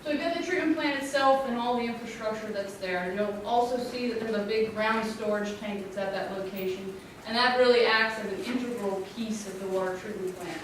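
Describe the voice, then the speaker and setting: A woman with a loud, clear voice presenting a water infrastructure plan at a public community meeting. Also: mid-range voice, fairly quick, hardly any pauses. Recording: echoey room, distant mic, background noise.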